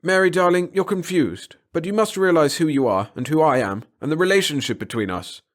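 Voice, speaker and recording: A commanding middle-aged male scientist as a narrator acts him out, obsessed and formal. His speech is insistent and lifted in pitch, in the manner of a dramatic audiobook. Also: animated, brisk, natural voice. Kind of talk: dramatic audiobook character reading.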